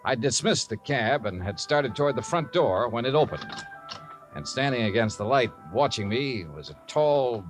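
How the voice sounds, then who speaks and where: A man's voice: medium pitch, animated, moderate pace, natural voice. An American man playing a wry, breezy, wisecracking insurance investigator in a 1950s radio drama, with punchy delivery. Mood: wry narration. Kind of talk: 1950s radio detective acting and narration. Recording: 1950s radio recording, music behind.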